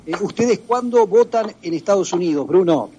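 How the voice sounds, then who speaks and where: A man's voice: sits high, lively intonation, moderate pace, mellow, slight background noise. A man with an Argentine accent, hosting a radio interview and asking questions with frequent hesitations. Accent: Argentine Spanish. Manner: hesitant, with many filler sounds. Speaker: radio host.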